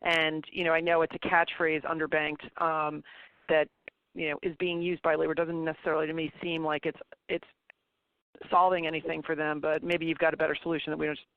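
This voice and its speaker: A male analyst asks meandering questions in a higher, fry-laden voice with little intonation. The sound is that of a tinny phone line. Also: completely flat delivery, fairly quick. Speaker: financial analyst asking questions. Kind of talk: unscripted conference call questioning. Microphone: phone call.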